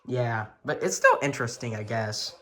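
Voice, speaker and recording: Bright-voiced man, enthusiastic hobbyist. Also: mid-range voice, lively intonation, moderate pace. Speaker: collector giving a tour of weather radios. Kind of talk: casual show-and-tell vlog.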